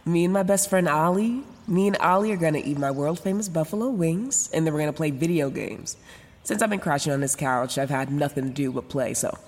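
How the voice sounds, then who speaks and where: A woman's voice: lowish voice, darkish tone, in a large hall. An actress playing a frank, foul-mouthed young woman, newly sober, rambling through a confessional audio drama in sarcastic slang. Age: young adult. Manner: rambling, self-interrupting, sarcastic, casual slangy talk. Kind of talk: confessional first-person audio drama narration.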